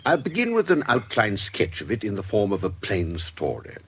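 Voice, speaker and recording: An actor with a British accent playing an urbane, shrewd medico-legal investigator in a radio drama, speaking with courteous, measured formality. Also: male voice, fairly low pitch, huge pitch swings, slight background noise. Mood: genial, probing. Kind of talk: period radio drama acting.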